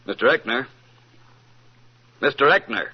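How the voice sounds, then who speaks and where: Muffled vintage radio: a confident gentleman sleuth, coolly blunt, very animated intonation. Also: medium pitch, measured pace, husky. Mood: confidential, satisfied. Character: urbane, shrewd amateur detective, a confident gentleman. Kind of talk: old-time radio mystery acting.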